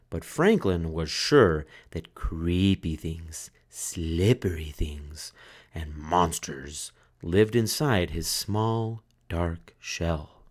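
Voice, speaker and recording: A man's voice: low-pitched, breathy edge. A man reading a children's storybook aloud as narrator, in scripted storytelling style.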